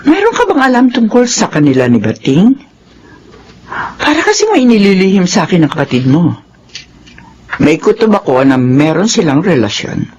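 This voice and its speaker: A woman's voice: lowish voice, big pitch swings, normal speed, pauses often, darkish tone, lo-fi sound, a little hiss or hum. An actress playing a strict, worried middle-aged mother in a Philippine radio drama, brooding and suspicious. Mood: suspicious, stern.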